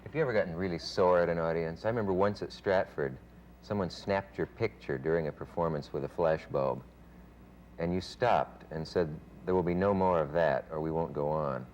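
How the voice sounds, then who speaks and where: A male talk show host with a very deep voice, asking chatty, prompting questions on a 1970s television broadcast. The sound is dull and lo-fi, with a faint hiss underneath. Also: normal speed, a little mellow. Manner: chatty, prompting, frequent murmured agreement. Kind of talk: interview questions. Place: television studio.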